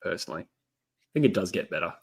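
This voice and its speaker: A relaxed man in his thirties with a lowish voice, chatting casually as he watches a football match. He thinks aloud and laughs easily. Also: very fast, creaky, breathy edge. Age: 30s. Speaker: co-host of a live football watchalong. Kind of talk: casual chat.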